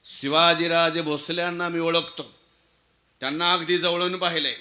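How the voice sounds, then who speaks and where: A man's voice: fairly low pitch, fairly even intonation, measured pace, voice pushed down, natural voice. A man voicing a khan's suspicious son in a historical tale, emphatic and insistent. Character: suspicious, sharp-eyed khan's son, insistent on exposing an impostor.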